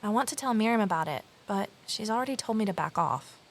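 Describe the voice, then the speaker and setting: An actress playing an anxious, determined amateur sleuth in an audio drama, earnest and introspective. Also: female voice, medium pitch, animated, quick pace. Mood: concerned. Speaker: lead character and narrator. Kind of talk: naturalistic audio drama dialogue and narration.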